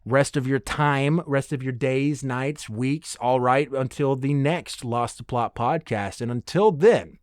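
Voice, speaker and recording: A man's voice: medium pitch, a little slow, few pauses, no echo. Rambling man hosting a casual podcast, dry sound. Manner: rambling, conversational; enthusiastic, casually profane. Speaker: podcast host. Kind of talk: casual solo podcast monologue.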